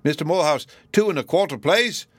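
A man voicing a stubborn, sly express agent with a folksy brogue and drawn-out grumbling. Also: fairly high pitch, expressive, no echo, clean studio sound, pitched above the performer's own voice. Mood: mocking.

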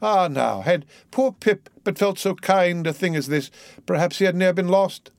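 A man's voice: high voice, normal speed, dry recording, voice pushed up. A man voicing a half-mad, frightened young cabin boy in an audiobook, with a singsong, rambling manner. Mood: tender wonder.

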